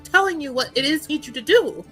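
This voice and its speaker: A woman's voice: high voice, lively intonation, fast, fairly bright tone. A woman playing a tabletop roleplaying game, improvising dialogue and actions in a casual, hesitant way over background music. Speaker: tabletop RPG player. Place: online streamed game session.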